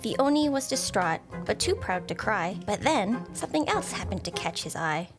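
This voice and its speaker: A woman narrating a rhyming storybook tale in a theatrical, expressive way. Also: mid-range voice, moderate pace. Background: music.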